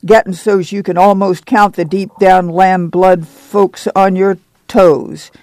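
A man's voice: high-pitched, steady tone, slow, darkish tone, no echo. A man voicing a stocky, fanatically pious country woman in a story, with an emphatic, foreboding preaching manner. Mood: righteous outrage.

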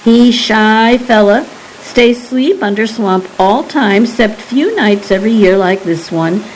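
A woman's voice: on the high side, slow, a little hiss or hum, voice pushed up, poor audio. A woman voicing an old, superstitious Cajun swamp recluse man with a Cajun accent, speaking in broken dialect. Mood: storytelling. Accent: Cajun English, put on. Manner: broken dialect, pleading warnings.

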